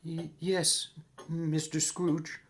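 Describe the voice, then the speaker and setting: A man's voice: fairly high pitch, level tone, very slow, voice pushed up. A man voicing Cratchit, a nervous, deferential clerk who stammers and pleads, in a rhyming story. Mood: meek.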